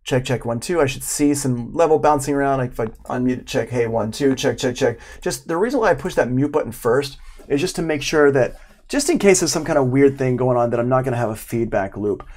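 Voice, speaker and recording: A man's voice: medium pitch, fairly quick, darkish tone. A middle-aged man teaching in an informal live tutorial, thinking aloud in a conversational way. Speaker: audio production instructor.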